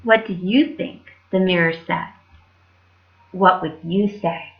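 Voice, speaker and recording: A woman's voice: lowish voice, slow pace, lo-fi sound. A woman telling stories to children as a library storyteller, in a casual style.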